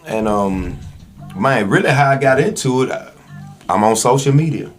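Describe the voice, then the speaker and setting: A man's voice: mid-range voice, lively intonation, normal speed, soft background music. A man with a Southern US accent telling stories as a podcast guest in a casual, slangy, conversational way. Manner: slangy, informal. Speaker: podcast guest, actor and online skit comedian.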